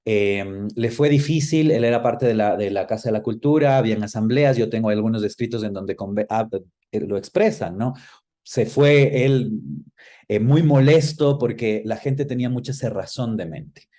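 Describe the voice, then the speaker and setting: Male interview guest. Soft, dark timbre, thoughtful conversational delivery that gropes for the right word. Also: mid-range voice, normal speed. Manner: hesitant, searching for words. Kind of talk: reflective conversational interview answers.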